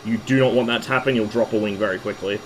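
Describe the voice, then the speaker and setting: A hoarse man, losing his voice, casually talking through a flight-sim stream in a relaxed, rambling way. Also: fairly low pitch, quick pace, slight background noise, poor audio. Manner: relaxed, rambling, with fillers and asides.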